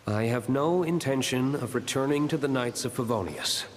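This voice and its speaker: A male actor plays an aloof, proud man in a video game. His medium-pitched voice is cool-headed and carries long reverb over faint noise. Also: normal speed, natural voice.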